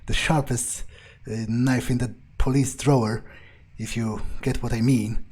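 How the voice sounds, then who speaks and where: A man's voice: mid-range voice, fairly even intonation, measured pace, darkish tone, breathy edge. A man playing a video game in character as a vampire, musing aloud in a smug, menacing, drawling way.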